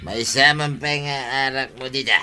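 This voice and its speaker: A man's voice: medium pitch, normal speed, bright, forceful tone, soft background music. A man playing a mischievous, tipsy village man who rambles and mocks people in a comedy radio drama. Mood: tipsy mocking. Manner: mocking, rambling, name-calling.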